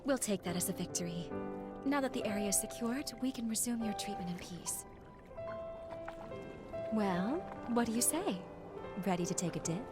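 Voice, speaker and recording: An actress playing a caring young female healer in a video game, speaking in a gentle, articulate, earnest way. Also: mid-range voice, lively intonation, moderate pace, long pauses, over loud music, natural voice. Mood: relieved, gentle. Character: caring young female healer companion with a bitter family grudge. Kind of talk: video game cutscene voice acting.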